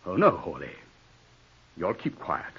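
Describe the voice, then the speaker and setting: An actor playing a proud, ruthless gentleman in an imperious, scornful, theatrical manner for a 1940s radio drama. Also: deep voice, wildly swooping pitch, a little slow, breathy edge, heavily acted. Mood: cold, threatening. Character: proud, ruthless gentleman clinging to respectability and power. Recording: dull old 1940s radio broadcast.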